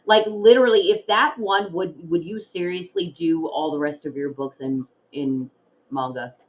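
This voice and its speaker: A woman in her forties or fifties hosting an interview, chatting casually and teasing her guest with quick asides. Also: lowish voice. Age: 40s to 50s. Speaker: interview host. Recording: dull lo-fi line.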